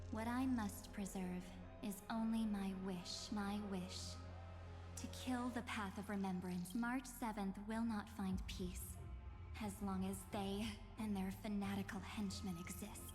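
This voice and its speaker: Actress doing silky obsessed game villainess, condescending and grand, huge reverb, music behind. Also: female voice, medium pitch, lightly acted. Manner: theatrical, condescending, menacing endearments. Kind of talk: scripted video game voice acting.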